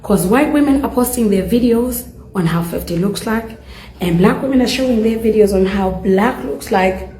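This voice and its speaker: A woman's voice: mid-range voice, normal speed, warm tone, reverberant, rough recording. A woman giving casual commentary on viral videos and asking viewers to weigh in. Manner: chatty, addresses viewers directly.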